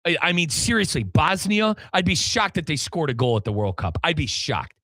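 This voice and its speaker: A man's voice: a little high, expressive, normal speed, pushed and bright, dry recording. Male sports radio host: opinionated, emphatic, repetitive banter, close dry studio sound. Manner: emphatic, repeats himself. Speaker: sports talk show host.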